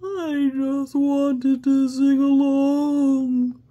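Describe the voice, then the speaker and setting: A woman voicing a sorrowful dragon in a storybook, crying out slow, drawn-out words. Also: high voice, fairly even intonation, pitched above the performer's own voice. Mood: sobbing, sorry. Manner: loud, drawn-out wailing. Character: sobbing, sorrowful dragon who only wanted to sing along.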